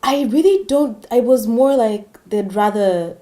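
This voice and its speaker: A woman's voice: on the high side, animated, unhurried, warm tone. Woman telling anecdotes in casual chat. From Africa. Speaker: panel guest.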